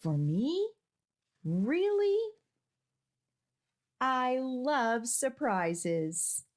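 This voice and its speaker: A woman's voice: high-pitched, big pitch swings, drawn-out words, pitched above the performer's own voice, exaggerated voice. A woman reading the part of an eager, childlike little animal in a storybook, pleading and full of questions. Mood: delighted.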